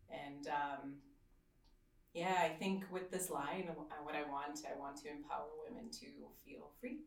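Low, gravelly woman from Slovakia. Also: reverberant, recorded from a distance, rough recording. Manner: hesitant, many ums, soft laughs. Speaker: swimwear designer and business owner. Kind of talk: casual interview conversation.

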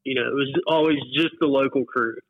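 A man's voice: medium pitch, fairly even intonation, fairly quick, no echo. An American man in his forties talks casually as an interview guest, reminiscing at an easy conversational level. His voice comes through a narrow, phone-like connection with very little room sound. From West Virginia, US. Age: 40s. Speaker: interview guest, whitewater paddler. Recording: muffled phone-like line, dry.

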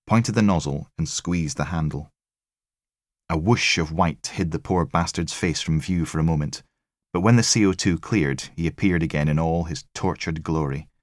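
A low-pitched man narrates a gritty crime novel. The recording is dry and close, in the manner of an audiobook. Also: no room sound.

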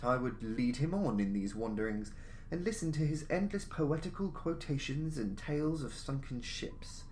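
A man reading a story aloud in a measured, formal, theatrical way, as a podcast narrator. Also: medium pitch, a little mellow. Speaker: narrator, audiobook-style podcast reader. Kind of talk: dramatic scripted story narration. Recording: noticeable background noise, jungle-like ambience.

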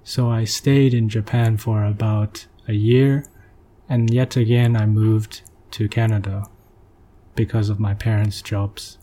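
Slow, low, flat-voiced young man. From Hong Kong. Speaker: interview guest. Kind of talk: casual interview.

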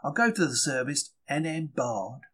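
Husky, plucky bookseller, acted by a man. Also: medium pitch, sing-song, normal speed, bright, forceful tone. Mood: matter-of-fact, indignant. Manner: colloquial, wry, emphatic storytelling. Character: young 1930s London working-class bookseller, chatty, plucky, easily wounded. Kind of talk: dramatic first-person diary reading.

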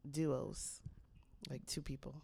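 A young woman co-hosting a podcast, chatting casually with quick asides that trail off. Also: very deep voice, little pitch movement, gravelly, warm tone. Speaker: podcast co-host. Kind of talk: casual chat.

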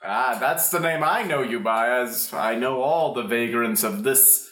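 A woman's voice: a bass voice, unhurried, fairly bright tone. A woman playing a pompous, bumbling male police detective with a wordy manner in an improvised comic radio play. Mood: pompous, musing. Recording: roomy, echoey recording.

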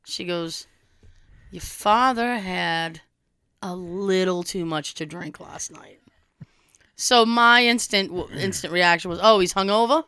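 A young woman, hoarse from a cold, telling a story in casual chat and acting out quoted dialogue with a swear now and then. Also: fairly low pitch, takes it slowly, pushed and bright, no room sound.

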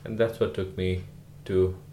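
A man from Sri Lanka, a rural GP, answering podcast interview questions in an unhurried way with many "uh" fillers. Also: sits low, little pitch movement, dark tone, echoey room, a little hiss or hum. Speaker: guest, rural GP.

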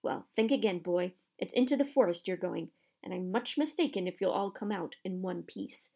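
Audiobook villainous caretaker played by a woman: male, spiteful, gleeful, leering delivery, plenty of rasping fry. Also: on the low side, lively intonation, normal speed, warm tone. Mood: gleeful menace. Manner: leering, sneering, nasty. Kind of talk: audiobook character voice.

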